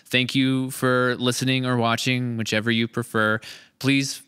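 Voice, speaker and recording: A man's voice: mid-range voice, flat delivery, measured pace, sharp tone, clear, resonant tone. A man hosting a casual interview podcast with a playful, joking manner, speaking into a studio microphone. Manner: jokey, playful. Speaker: podcast host. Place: large indoor studio room. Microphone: podcast microphone on a boom arm.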